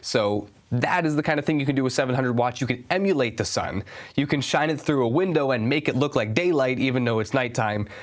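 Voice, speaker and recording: A young man talks through a product review in a relaxed, casual way, clearly a bit stuffed up from a cold. His voice sits at an ordinary middle pitch, and he gets enthusiastic about the gear. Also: a little fast. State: stuffy, under the weather.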